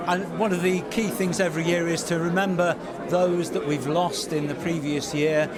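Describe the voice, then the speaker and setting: A man with a notably high-pitched voice presents and interviews as a podcast host. His delivery is upbeat, and loud crowd chatter from a busy venue surrounds him. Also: little pitch movement, normal speed. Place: theatre venue during red carpet arrivals.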